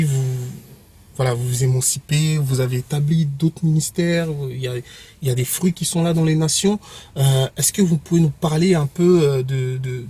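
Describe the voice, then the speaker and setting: Mellow husky man interviewing. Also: mid-range voice. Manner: affirming, frequent amens.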